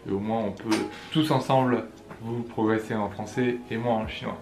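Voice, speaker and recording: Playful young French vlogger, echoey room. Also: male voice, on the low side, steady tone, moderate pace, noisy background. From France. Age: young adult. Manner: playful, jokey, laughs at himself. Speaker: vlogger answering viewer questions. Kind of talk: casual Q&A vlog, switching between French and Chinese. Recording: echoey room with soft background music.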